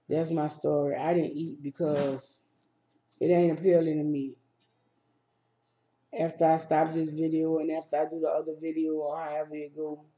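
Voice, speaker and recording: A woman's voice: sits low, dark tone, roomy sound. A woman hosting a casual storytime vlog, talking in a laid-back, plainspoken way. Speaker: vlog host. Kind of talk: casual storytime chat while eating.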